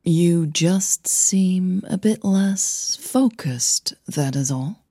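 A woman voicing a composed, caring singing coach in an audio drama, reassuring and gently teasing as she probes. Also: lowish voice, expressive, drawn-out words, slightly rough, no echo, clean studio sound, full character voice. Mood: gentle, probing.

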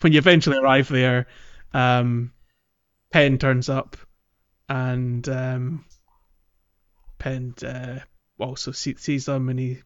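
A man's voice: medium pitch, little pitch movement, takes it slowly, smooth, muffled recording. A man co-hosting a casual gaming podcast with a jokey manner. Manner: jokey, laughs often. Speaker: podcast co-host.

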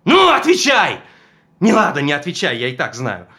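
Актёр играет ревнивого, жестокого мужа, который издевается над женой и то шипит, то срывается на крик. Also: male voice, a little high, wildly swooping pitch, moderate pace, exaggerated voice. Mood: taunting, raging. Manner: mocking, swings from menacing whispers to shouting. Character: jealous abusive husband tormenting his wife. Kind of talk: stage drama performance.

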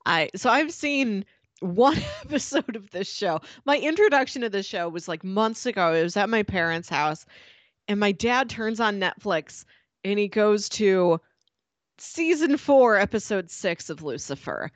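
A woman's voice: medium pitch, expressive, a little slow, no room sound. A woman podcast co-host chatting casually and informally about TV, with a giggly manner. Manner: informal, giggly, peppers talk with "like". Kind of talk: casual chat about a TV show.

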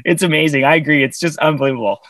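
A man's voice: a little high, very fast, fairly bright tone, dry recording. A man co-hosting a podcast who chats casually and enthusiastically, laughing and using slang.

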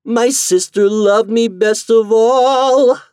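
A man voicing an enchanted storybook bird, eerie and mournful yet proud, in slow, drawn-out singsong verse. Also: a little high, wildly swooping pitch, darkish tone, husky, dry recording, clean studio sound, pitched above the performer's own voice, exaggerated voice.

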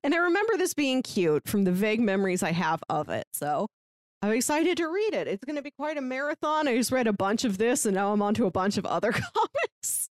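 A woman's voice: on the high side, very animated, brisk, no echo, studio quality. A woman hosting a podcast in a casual, chatty style. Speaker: podcast host.

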